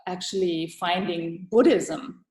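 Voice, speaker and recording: A woman's voice: lowish voice, slow pace, a little mellow. Woman from Thailand, guest author, thoughtful answers, lots of ums and restarts, roomy echo. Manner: thoughtful, many fillers and restarts. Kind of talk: casual interview answers.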